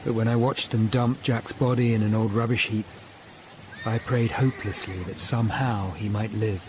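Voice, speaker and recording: An actor playing a grave Tudor lawyer-detective who narrates a radio drama in the first person, measured and sombre. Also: male voice, on the low side, fairly even intonation, noisy background, natural voice.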